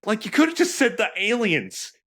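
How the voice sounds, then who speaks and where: A man's voice: sits high, big pitch swings, normal speed, bright, forceful tone. A man co-commentating on a video game in a casual, chatty style. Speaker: let's play co-commentator. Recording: game music behind commentary.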